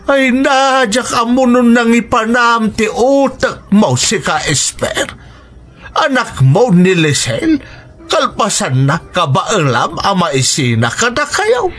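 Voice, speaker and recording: A man's voice: very high voice, big pitch swings, slow, a bit bright, heavily acted. A man with an Ilocano accent playing an indignant, protective, plaintive elderly grandparent in a radio drama.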